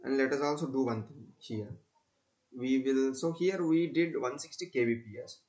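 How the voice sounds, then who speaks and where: A man presents a screen-recorded technical tutorial in a medium-pitched voice. He explains each step haltingly, often restating what he has just said. The delivery is that of a plain, practical demonstration. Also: a little mellow, muffled recording. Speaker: student presenter demonstrating software.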